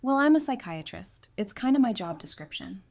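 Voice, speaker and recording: A woman's voice: mid-range voice, sing-song, moderate pace, creaky, a little mellow. A woman playing a caring but hurt psychiatrist in an audio drama, speaking in a candid, conversational way. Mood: wry, candid. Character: caring psychiatrist woman, weary and hurt, wryly self-deprecating.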